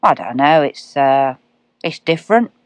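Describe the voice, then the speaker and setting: Kindly male cat, drawling, unhurried, lower voice, put on by a woman narrator, dry sound. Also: animated, no room sound. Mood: gentle. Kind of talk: storybook reading.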